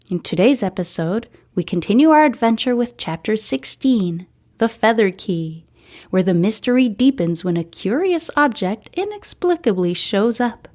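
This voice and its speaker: A woman's voice: fairly high pitch, darkish tone. A woman hosting and narrating a scripted story, reading a book aloud.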